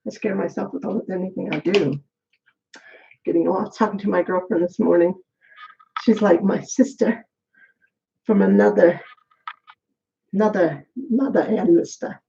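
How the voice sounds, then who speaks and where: A woman's voice: mid-range voice, moderate pace, vocal fry, pauses often, mellow, dull audio. A middle-aged woman vlogging in a candid, rambling chat, short of breath as she braids her hair. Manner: rambling, candid, talks to herself. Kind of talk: casual chat. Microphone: camera while braiding her hair.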